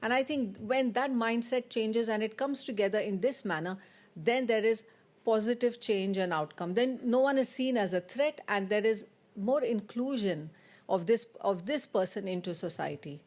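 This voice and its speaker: A woman panelist who moved to Finland from abroad, giving measured, reflective remarks in a panel discussion. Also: a little high, a little mellow. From abroad, living in Finland. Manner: reflective, measured, thinking aloud.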